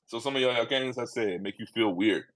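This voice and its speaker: A man with a Liberian accent, talking casually to his viewers and acting out quick back-and-forth exchanges. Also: mid-range voice. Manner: animated, acts out quick dialogues in put-on voices. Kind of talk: casual monologue to camera.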